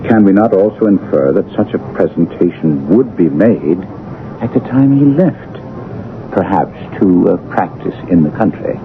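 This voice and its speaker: An actor playing a keen, self-assured detective with a brisk, emphatic, teasing manner in a 1970s radio drama. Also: male voice, sits low, lively intonation. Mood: confident, reasoning. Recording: tinny old radio broadcast, faint noise.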